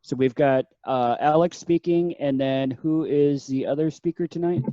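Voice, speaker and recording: A man's voice: a little high, normal speed, warm tone, no room sound. A man chairing a meeting on a video call, checking details aloud in an easygoing way. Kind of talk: casual meeting chat. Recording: dull, dry video call audio.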